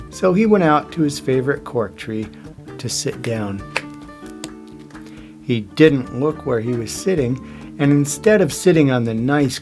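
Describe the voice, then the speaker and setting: A man's voice: mid-range voice, a little mellow. An older man reading a children's picture book aloud, with dramatic emphasis on key words. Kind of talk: scripted storybook read-aloud.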